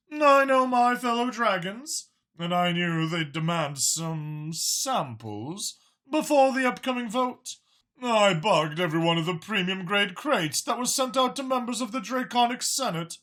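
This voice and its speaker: A man's voice: high voice, animated, unhurried, bright, forceful tone, full character voice. A man voicing a calculating, mild-mannered dragon spymaster in an audiobook, articulate, formal and matter-of-fact about killing. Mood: assured, animated. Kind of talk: audiobook character dialogue.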